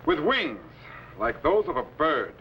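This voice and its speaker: A man's voice: a little high, very animated, unhurried. An actor playing a confident, fatherly space captain in a 1950s sci-fi serial, explaining things with genial authority. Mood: instructive. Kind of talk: 1950s television serial acting. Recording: dull, faintly noisy old soundtrack.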